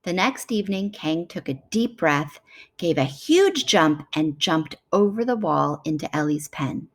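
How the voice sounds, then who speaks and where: A woman narrating a children's storybook as an expressive read-aloud. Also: low-pitched, unhurried. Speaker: children's book reader.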